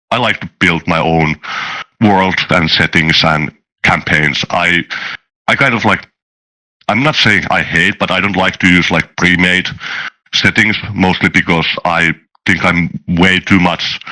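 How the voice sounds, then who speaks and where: Very deep-voiced man, bright and forceful. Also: fairly even intonation, measured pace, low-quality recording. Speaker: guest, tabletop game designer and dungeon master. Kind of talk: casual chat, interview answers.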